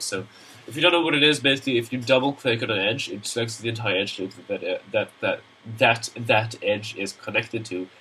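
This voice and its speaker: A man's voice: lowish voice, bright, forceful tone, a little hiss or hum, low-quality recording. A young man with a Swedish accent, nasal from a cold, narrating a screen-recorded modeling tutorial in a rambling, chatty way with many fillers. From Sweden.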